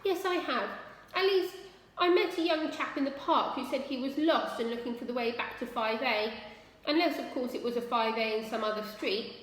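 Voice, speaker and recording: Casting: helpful, polite male hedgehog neighbour for a storybook, played by a woman, high voice, echoing room. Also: moderate pace, fairly bright tone, lightly acted. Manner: courteous, helpful, chatty. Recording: very echoey room with long reverb.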